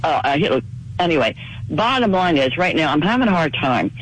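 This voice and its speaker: A woman in her seventies calling in to a radio show. She is losing her voice to a cold and talks fast in a rambling way. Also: sits low, sing-song, poor audio. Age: 70s. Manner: rapid, rambling storytelling. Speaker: caller. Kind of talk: call-in radio chat.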